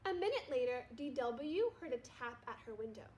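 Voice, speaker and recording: A woman, a primary school teacher, reading a children's storybook aloud in an expressive way. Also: sits high, moderate pace, lo-fi sound, a little hiss or hum. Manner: expressive, acts out dialogue. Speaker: second-grade teacher.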